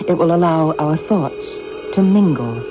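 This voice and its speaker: An actress playing a mysterious alien woman stowaway in a 1980s radio drama, speaking calmly and deliberately with coaxing, cryptic poise. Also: low voice. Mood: hypnotic, soothing. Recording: dull vintage radio recording with music.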